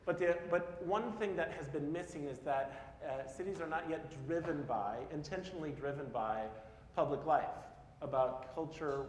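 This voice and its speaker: A Midwestern man in his forties gives a conference talk from the stage, casual and self-deprecating, checking in with the crowd as he goes. His voice sits a touch high for a man. The sound is roomy with a long hall reverb and a faint hiss underneath. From Peoria, Illinois (US Midwest). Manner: casual, self-deprecating, asks the audience questions. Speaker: speaker at a public talk. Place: large hall in front of an audience. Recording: echoey hall with faint noise.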